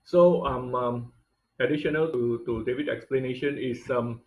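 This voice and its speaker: A man's voice: mid-range voice, normal speed, darkish tone, rough recording. A man teaching an online robotics class over a video call, explaining step by step with many fillers and restarts. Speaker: instructor. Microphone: computer mic on video call.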